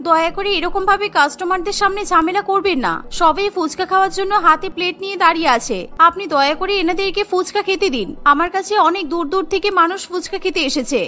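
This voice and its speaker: A woman's voice: extremely high pitch, fast talker, few pauses, bright, forceful tone, soft background music. A woman voicing an honest, hardworking young wife who runs a snack cart in a cartoon, speaking politely but firmly. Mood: polite pleading. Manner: polite but firm, pleading.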